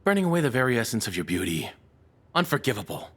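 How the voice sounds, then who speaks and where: A man playing a deranged, lovesick cannibal captor in an intimate audio roleplay, speaking in a soft, hesitant, confessional way with quiet menace. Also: medium pitch, sing-song, fast talker, husky. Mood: obsessive, menacing.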